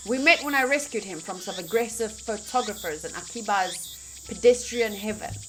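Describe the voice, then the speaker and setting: A woman's voice: medium pitch, moderate pace, fairly bright tone, a little hiss or hum. A woman game streamer reading a visual novel aloud theatrically, mixed with casual reactions. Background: faint game music.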